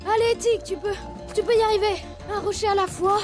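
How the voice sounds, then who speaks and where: A young animal sidekick in a children's cartoon. The cub sounds very high, whiny and expressive, timid yet loyal. Music runs underneath. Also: female voice, fairly quick. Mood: urgent.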